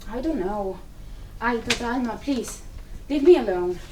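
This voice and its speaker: A woman's voice: on the high side, lively intonation, normal speed, lightly acted. A woman playing a weary, wounded and guarded Cuban immigrant mother in a dramatic play reading, exasperated and pleading. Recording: distant mic, faint room noise.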